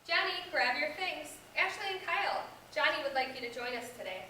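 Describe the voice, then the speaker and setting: A woman's voice: high voice, animated, a little fast. A young American woman playing a patient, supportive reading teacher in amateur reader's theater, with an instructive scripted delivery. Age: young adult. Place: echoey indoor room. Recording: echoey room, distant microphone, background hiss.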